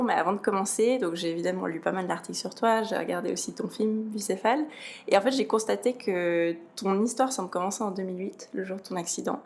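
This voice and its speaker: A woman hosting a podcast, reading a scripted introduction and asking interview questions in a clear, measured way. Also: mid-range voice. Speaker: podcast host.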